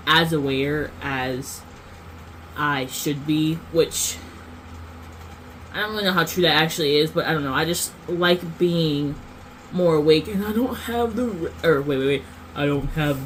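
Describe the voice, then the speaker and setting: A woman's voice: deep voice, a little hiss or hum, rough recording. A woman vlogger rambling through a casual monologue, full of fillers and self-corrections.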